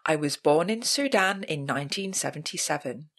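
A woman reading out a scripted pub quiz as host, in a measured, announcing manner. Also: low-pitched, expressive, fairly bright tone.

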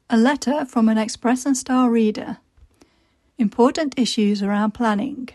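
Female reader, fairly high voice, dry close recording, careful measured delivery. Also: a little mellow, no echo. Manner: measured, deliberate reading, slowing on lists. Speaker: newspaper reader for listeners. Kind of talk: reading articles and a reader's letter aloud.

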